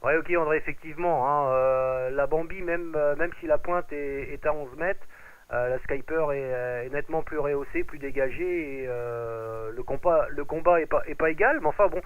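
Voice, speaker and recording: A man's voice: on the high side, normal speed, no room sound. Man on phone-like radio link. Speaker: amateur radio operator. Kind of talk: casual radio contact chat, handing over the mic. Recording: narrow, phone-like radio transmission.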